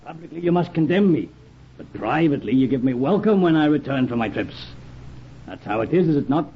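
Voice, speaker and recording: An actor playing a bold, confident buccaneer captain in an old radio drama, with hearty archaic seafaring phrasing. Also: male voice, on the high side, expressive, a little fast. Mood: amused. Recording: dull, noisy old radio recording.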